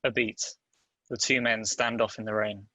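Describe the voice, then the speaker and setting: A man reading stage directions as scripted dramatic narration, in a measured, terse and deliberate way. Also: lowish voice, flat delivery, bright, forceful tone, no room sound, low-quality recording.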